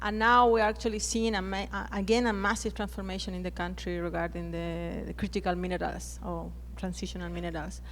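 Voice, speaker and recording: A woman's voice: lowish voice, flat delivery, fairly quick, few pauses. A Chilean woman whose first language is Spanish, speaking on a conference panel with frequent hesitations and restarted words. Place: conference plenary hall.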